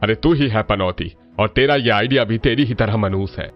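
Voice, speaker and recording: A man voicing an emotional middle-aged family patriarch in a cartoon, expressive and emphatic. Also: on the low side, normal speed, lo-fi sound, faint music behind, natural voice. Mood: scolding. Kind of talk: Hindi family cartoon dubbing.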